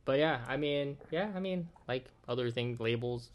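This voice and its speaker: A man's voice: mid-range voice, moderate pace. A man in his twenties with a Californian American accent, chatting casually in a rambling way, saying "like" often and swearing now and then. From Oakland, California, USA. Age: 20s. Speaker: podcast guest. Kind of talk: casual conversation.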